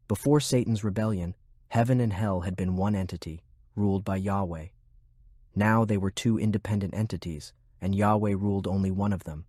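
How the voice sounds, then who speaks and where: A man reading scripted fiction narration in a measured, deliberate way. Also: lowish voice, a little mellow, no echo, clean studio sound. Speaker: audiobook narrator. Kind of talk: scripted narration of a fantasy war novel.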